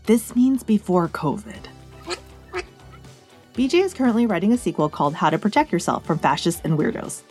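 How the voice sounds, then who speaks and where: A woman's voice: lowish voice, sing-song, moderate pace, a little mellow. A woman reading scripted podcast tips in a wry, jokey manner. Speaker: podcast host. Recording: faint music bed behind.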